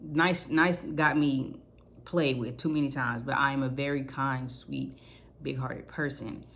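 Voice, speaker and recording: A young woman with a naturally deep voice, rambling candidly through a personal vlog and cursing casually. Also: steady tone, unhurried, a bit bright, a little hiss or hum. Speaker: vlogger.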